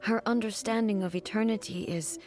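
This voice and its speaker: A woman's voice: medium pitch, steady tone, brisk, darkish tone, natural voice. An actress as a composed, stern ruler woman. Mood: solemn. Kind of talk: video game voice acting. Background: game music.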